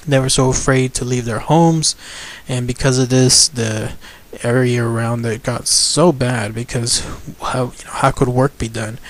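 A man's voice: medium pitch, steady tone, measured pace. A man, the channel's host, casually narrating a legend over photos in an enthusiastic, rambling way with frequent fillers.

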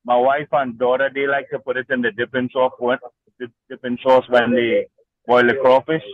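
A man from Trinidad and Tobago, a market vendor, explaining his products in a relaxed, plain way at an outdoor stall. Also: mid-range voice, normal speed, no room sound, narrow, tinny audio. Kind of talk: casual interview over a video link.

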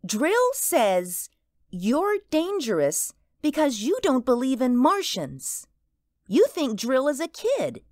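A high, slow-spoken little girl, acted by a woman in a radio drama. She is childlike and earnest, with a secretive menace under the excitement. The sound is close and dry. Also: no echo, full character voice. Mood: earnest, boastful. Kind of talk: audio drama acting.

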